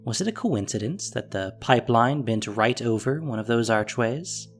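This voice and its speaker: Storyteller narrating an audio drama, lively rise and fall in pitch, music underneath. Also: normal speed. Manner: expressive, descriptive storytelling.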